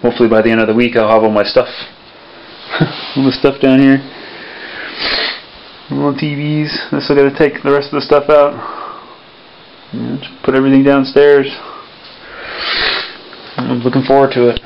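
A young man chats his way through a show-and-tell at a home workbench, relaxed and rambling with plenty of ums. His mid-pitched voice comes through the camera's built-in mic, sounding dull and lo-fi with a faint hiss behind it. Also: normal speed, gravelly, long pauses. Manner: relaxed, rambling, many fillers. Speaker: electronics hobby vlogger. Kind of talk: casual show-and-tell vlog.

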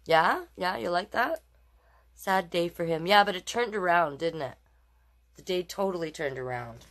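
Animated low-voiced woman reading to kids. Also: moderate pace. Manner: playful, encouraging, addresses young listeners directly. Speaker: children's storytime host. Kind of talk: picture-book read-aloud with chatty asides.

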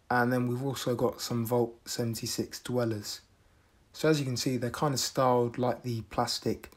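A man giving an unboxing review voice-over in a measured, matter-of-fact way. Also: medium pitch. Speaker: video reviewer.

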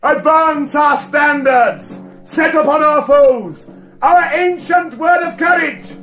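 A man's voice: very high-pitched, takes it slowly, fairly bright tone, faint music behind, full character voice. An actor playing a ruthless, scornful warrior king in a declamatory classical Shakespearean style. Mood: battle fury. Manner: declamatory, grandly theatrical. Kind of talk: classical Shakespearean stage acting.

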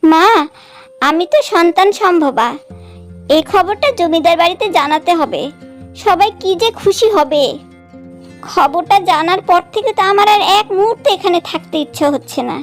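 Woman playing very high-pitched young cartoon wife. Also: animated, normal speed. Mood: eager, happy. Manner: emotional, dramatic. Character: proud, wronged pregnant young landlord's wife. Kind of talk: cartoon melodrama acting. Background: background music.

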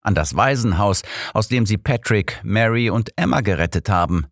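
A man reading an elderly, learned detective in an audiobook, with a measured, formal, explanatory and calmly assured way of talking. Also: fairly low pitch, no room sound, studio quality.

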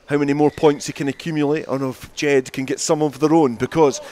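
Man, live play-by-play rugby commentary, slightly raised pitch, outdoors. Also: fairly even intonation, fairly quick, mellow.

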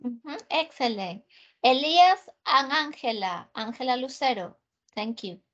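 A woman with a Peruvian Spanish accent teaching an online English class, repeating her instructions slowly and switching to Spanish. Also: sits high, expressive. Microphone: video call. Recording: muffled video call audio.

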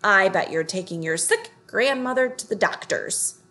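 A woman's voice: mid-range voice, lively intonation, moderate pace, bright, forceful tone. A woman voicing a nosy storybook tortoise who questions a stranger inquisitively.

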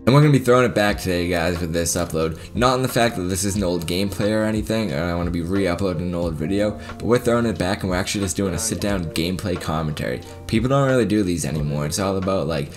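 A young man with a Boston accent talks into a desk mic at his home gaming setup, his voice sitting low. He chats casually and directly to his viewers, winding through an easygoing story. A faint music bed plays underneath. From Boston area, Massachusetts. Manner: casual, chatty storyteller talking straight to viewers. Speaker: gaming video creator. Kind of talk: sit-down gameplay commentary with story time.

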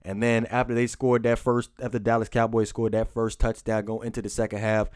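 A man giving an unscripted post-game football recap as a fan, informal and halting, repeating phrases for emphasis. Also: fairly low pitch, flat delivery, darkish tone, smooth, dry recording, studio quality. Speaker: sports commentary vlogger and football fan.

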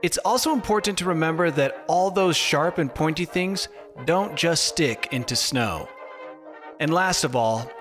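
The man you would hear narrating a spoof instructional film about the outdoors, deadpan and mock-formal, with music playing clearly underneath. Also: a little high, unhurried. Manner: deadpan, tongue-in-cheek formal delivery. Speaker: narrator of a skiing explainer video. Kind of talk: scripted narration, mock-documentary. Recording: music bed under voice-over.

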